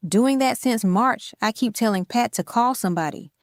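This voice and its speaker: A woman's voice: on the high side, normal speed, lightly acted. A woman playing an exasperated, blunt restaurant worker in an audio drama.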